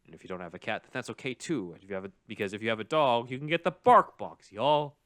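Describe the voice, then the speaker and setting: A man's voice: medium pitch, very animated, fast talker, a bit bright, dry recording, studio quality. A man co-hosting a podcast, reading out plugs in a casual, jokey way. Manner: jokey, bantering. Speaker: podcast co-host.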